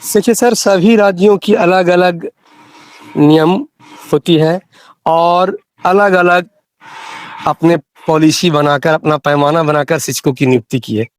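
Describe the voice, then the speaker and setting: A man speaks in a fairly high voice. He explains things insistently, repeating phrases for emphasis. The recorded call sounds dry, with little echo. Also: unhurried. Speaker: interviewee explaining teacher rules. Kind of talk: explanatory phone discussion.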